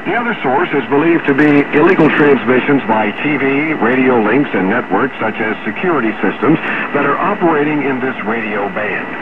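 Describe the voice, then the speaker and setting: A man's voice: mid-range voice, phone-quality sound. A man reading a scripted news report in a formal manner. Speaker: news reader. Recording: very noisy, phone-thin shortwave radio broadcast.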